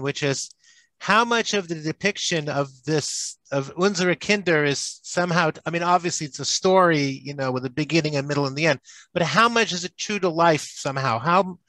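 Middle-aged moderator, crisp bright voice, dry headset mic, halting delivery. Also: male voice, a little high, no echo, poor audio. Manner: stumbling, restarting question. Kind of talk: questions on a video call. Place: office with plants.

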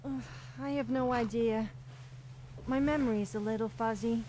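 Fantasy game follower, played by a woman: scatterbrained, boastful young troublemaker, fairly high voice, theatrical sass. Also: steady tone, moderate pace, warm tone, slight background noise, close to an everyday voice, low-quality recording. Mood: cocky. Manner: sassy, theatrical, self-important. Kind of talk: scripted fantasy game character dialogue.

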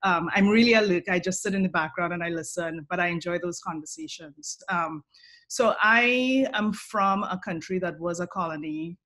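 A woman's voice: on the low side, expressive, moderate pace, a bit bright. A woman from Trinidad and Tobago with a Trinidadian accent, speaking in a thoughtful, personal way as a panelist on a video call.

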